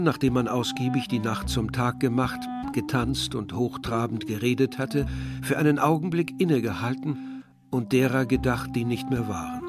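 An actor playing a reflective village man, narrating a literary radio play in a measured, bitter tone. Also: mid-range voice, close to an everyday voice. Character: reflective Algerian village man recalling war and dead brother. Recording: dry studio, faint music behind.

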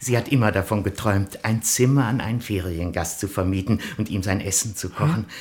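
Man acting an eager, naive little citizen, lowish voice. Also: brisk, a little mellow, natural voice. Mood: obliging, fond. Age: 40s. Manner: effusive, polite, earnest, stammering when flustered. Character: eager, pleading, proudly naive village-born factory inspector. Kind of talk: 1960s German radio comedy acting.

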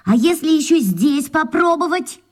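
A woman's voice: high voice, lively intonation, normal speed, a little mellow. A woman playing an eager, cheeky young schoolboy sidekick in a radio play.

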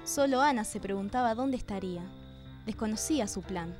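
A woman's voice: medium pitch, brisk, darkish tone, faint music behind. A woman reading scripted narration for a radio drama.

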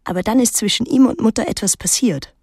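An actress playing a wary, withdrawn teenage daughter who narrates her island story in a measured, introspective radio drama style. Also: fairly high pitch, darkish tone, husky, no echo, clean studio sound. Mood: pensive.

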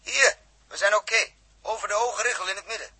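A male actor playing a brisk, practical spaceship captain in a Dutch radio drama from the 1960s, with a Netherlands accent and a commanding, matter-of-fact manner. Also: high voice, sharp tone, breathy edge, no echo, full character voice. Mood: urgent, commanding.

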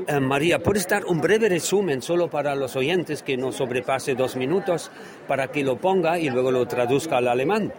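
A man reporting for radio from a large, busy exhibition hall. His delivery is unscripted and descriptive, at a middle pitch, with plenty of crowd noise around him. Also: a little fast. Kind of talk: on-site radio reportage and interview. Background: crowd murmur.

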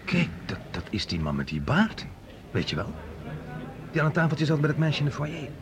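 A man's voice: a little high, very animated, moderate pace, noisy background. A man acting a breezy, teasing scientist in chatty, conversational dialogue from a science fiction radio play. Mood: curious. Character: breezy, teasing male scientist with an eye for women. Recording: radio play with crowd behind. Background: crowd murmur.

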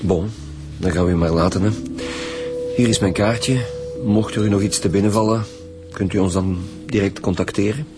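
An actor playing a blunt, suspicious lead police detective with a Flemish accent, curt and pressing, in a naturalistic radio crime drama. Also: male voice, fairly low pitch, fairly even intonation, moderate pace, long pauses, music in the background, close to an everyday voice. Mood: businesslike.

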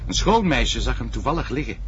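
An actor playing an earnest, matter-of-fact police colleague in a crime drama, with a Flemish accent. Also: male voice, mid-range voice, sing-song, brisk, close to an everyday voice. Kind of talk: naturalistic crime drama dialogue. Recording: dull noisy audio with car ambience.

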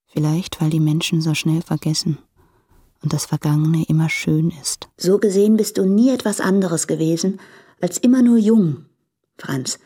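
An actress playing a tender, regretful woman addressing her former lover in a radio play, speaking in a reflective, restrained, deliberate way. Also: low voice, mellow, breathy edge. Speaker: radio drama actor. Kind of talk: intimate literary German radio play monologue.

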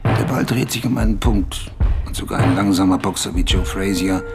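A male narrator reads a radio essay in a measured, literary way. His voice sits fairly low, with music strongly present behind him. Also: creaky.

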